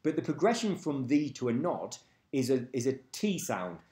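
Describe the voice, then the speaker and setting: A man's voice: medium pitch, very animated, normal speed, warm tone. A man rambling casually to camera, swearing freely and losing track of names. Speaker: vlogger. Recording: echoey room.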